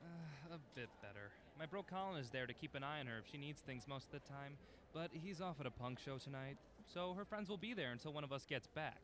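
Young male film buff, actor in audio drama: friendly, teasing, animated, slightly high voice, busy crowd background. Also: fairly quick, natural voice. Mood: apologetic, friendly. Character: eager young male film fanatic, devoted son and friend.

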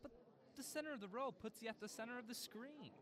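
A man's voice: extremely high pitch, fast, crowd noise. A man playing an eager young film fan in an audio drama, chatting in a friendly, teasing way. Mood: teasing, easygoing. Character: eager young male film fanatic, devoted son and friend.

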